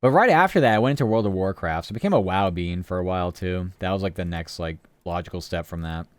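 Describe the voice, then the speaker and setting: Low, smooth-voiced male streamer rambling. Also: fast talker, dry recording. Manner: rambling, chatty, answers chat, swears casually. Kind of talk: casual live-stream chat.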